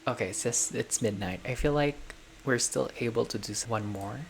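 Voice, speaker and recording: A video game player reading a visual novel aloud as narration, with casual personal asides and expressive delivery. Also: medium pitch, normal speed, vocal fry, slight background noise. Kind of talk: playthrough narration with casual reactions. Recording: music behind the voice. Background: game music.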